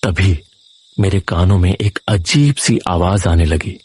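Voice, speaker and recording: Low, husky male horror storyteller. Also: a little slow, darkish tone. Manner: dramatic, suspenseful. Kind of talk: scripted first-person horror narration.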